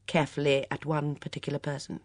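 Woman doing a measured, low male police inspector. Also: monotone, no room sound, studio quality. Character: male police inspector, calm, polite, methodical questioner.

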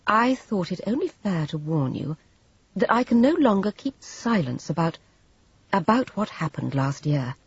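Woman playing composed cold woman, measured, quietly firm, breathy, storybook dialogue. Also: mid-range voice, sing-song, pitched above the performer's own voice, exaggerated voice. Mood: cool, resolute. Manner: composed, cool, measured, polite. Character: smooth, cold, self-righteous, quietly resolute woman. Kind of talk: dramatised storybook reading. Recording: roomy, slightly dull audiobook recording.

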